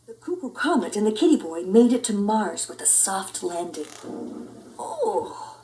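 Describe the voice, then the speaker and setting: A woman narrating a children's story in an expressive read-aloud style. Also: medium pitch, moderate pace, far from the mic. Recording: roomy indoor echo.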